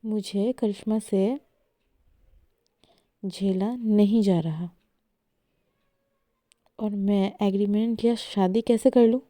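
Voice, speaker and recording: A woman voicing an angry young woman breaking off a relationship, loud and heated. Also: medium pitch, steady tone, takes it slowly, long pauses, dry recording, studio quality, close to an everyday voice.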